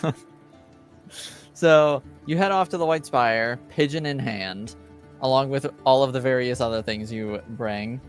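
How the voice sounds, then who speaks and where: A man's voice: medium pitch, measured pace. Male game master, mid-range voice, casual and slightly hesitant narration, light music underneath.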